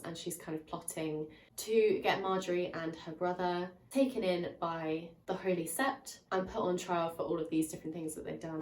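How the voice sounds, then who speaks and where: A woman's voice: on the low side, a little mellow, roomy sound, distant mic, a little hiss or hum, rough recording. A woman reviewing a book to her viewers in a chatty way, sounding slightly nasal and stuffed up from a lingering cold. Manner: chatty, recaps plots with frequent "um" and "kind of". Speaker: book reviewer and channel host. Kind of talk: casual spoiler-filled book review to camera.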